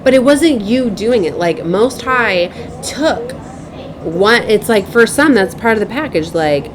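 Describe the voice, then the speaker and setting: A woman giving an improvised spiritual message as a tarot reader, speaking in a halting, repetitive, earnest and cautioning way. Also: mid-range voice, animated, noisy background. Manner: halting, repeats herself, circles back.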